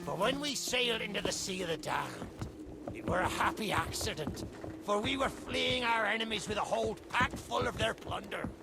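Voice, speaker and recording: Greedy undead for a game, high creaky voice, arr talk, theatrical, loud music behind. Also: male voice, moderate pace. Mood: storytelling, gloating. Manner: theatrical storytelling, archaic pirate dialect. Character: greedy talking skull of an old pirate, roguish, theatrical. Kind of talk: video game character acting.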